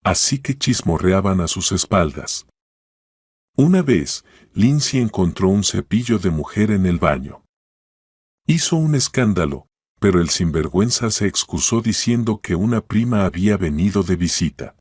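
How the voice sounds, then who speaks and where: A man's voice: deep voice, animated, normal speed, darkish tone, no echo, studio quality. A man reading a scripted story as a narrator.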